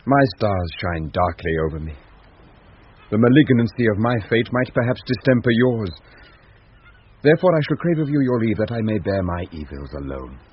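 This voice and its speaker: An actor playing a courteous, sorrowful young gentleman, grave and formal, in classic Shakespearean radio style. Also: fairly low pitch, sing-song, normal speed, breathy edge. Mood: melancholy, courteous. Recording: muffled 1970s radio recording, noisy.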